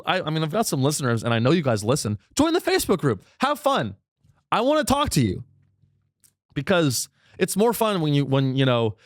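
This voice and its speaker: A man's voice: dry recording, clean studio sound. Rambling irreverent podcast host losing his voice. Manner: rambling, irreverent, swearing, with sudden high pitch jumps. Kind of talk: solo comedic podcast monologue.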